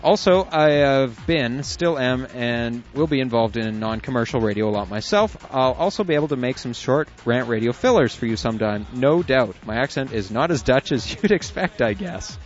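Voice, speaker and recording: Casual male radio co-host, mid-pitched, reads emails with chuckles, dull lo-fi sound with faint music behind. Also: animated, moderate pace, few pauses. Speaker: internet radio co-host reading listener emails. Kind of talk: casual on-air chat.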